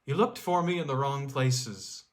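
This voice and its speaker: A man's voice: a little slow, close to an everyday voice. A man voicing an alluring young female snow spirit who teases and beckons in a storybook reading. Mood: teasing, coaxing. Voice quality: low, whispering, soft.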